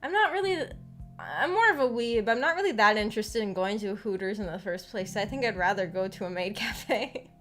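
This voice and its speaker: An American woman streamer chatting casually in a rambling, halting, giggly way. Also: mid-range voice, animated, a bit bright. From United States. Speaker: streamer, visual novel book club host. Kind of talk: casual livestream chat. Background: background music.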